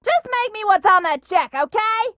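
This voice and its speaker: An irate diner waitress in a video game. Her voice is loud, piercing and extremely high. She scolds and demands with sarcasm, in a dry, slightly muffled recording. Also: lively intonation, normal speed, dry recording. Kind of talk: video game character dialogue.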